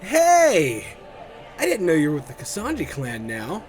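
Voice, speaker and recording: Man voicing showy fight announcer, high-pitched, very animated, cheeky, chatty, visual novel drama, music underneath. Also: measured pace. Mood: friendly. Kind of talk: theatrical arena fight commentary.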